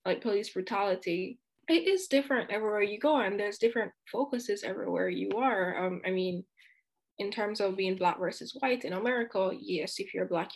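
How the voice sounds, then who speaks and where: A woman's voice: medium pitch, gravelly, low-quality recording. A woman from Jamaica, speaking as a student guest in an earnest, outspoken way with frequent asides. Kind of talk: candid conversational discussion.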